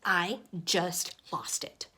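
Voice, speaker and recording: A woman voicing a small, easily exasperated pet goldfish narrating a diary in an expressive, deliberate children's picture-book read-aloud. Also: fairly low pitch, slightly rough, voice pushed down, heavily acted. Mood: frazzled.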